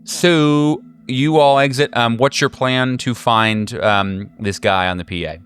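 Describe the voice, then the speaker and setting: A man running a tabletop horror game as game master, narrating casually in a halting, slangy, jokey way. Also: medium pitch, steady tone, fairly bright tone, clear, resonant tone, soft background music.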